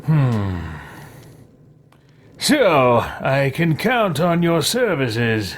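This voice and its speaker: Casting: a man as a self-important fantasy-game wizard, haughty and irritable, drawn-out pacing, wildly swinging intonation. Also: mid-range voice, big pitch swings. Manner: pompous, indignant, theatrical. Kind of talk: improvised roleplay over a video game.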